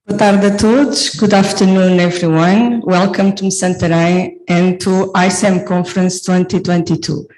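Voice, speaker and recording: A conference organizer, opening the event with a formal welcome and a list of dignitaries, clearing the throat now and then. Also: medium pitch, fairly even intonation, normal speed, few pauses, darkish tone, low-quality recording.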